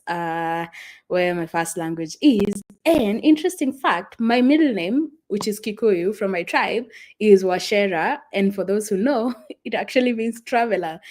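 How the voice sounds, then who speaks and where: A young Kenyan woman with a Kenyan accent, whose first language is Swahili, chatting casually and rambling with fillers and soft laughs as she hosts a travel livestream from a friend's house. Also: mid-range voice. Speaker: travel vlogger hosting a livestream. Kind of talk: casual livestream chat with viewers.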